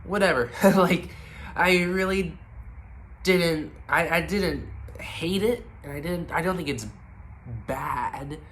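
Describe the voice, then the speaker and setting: A man's voice: on the high side, takes it slowly, fairly bright tone, a little hiss or hum. A man with a congested, stuffy voice giving a casual movie review, rambling and hesitant with lots of ums and restarts.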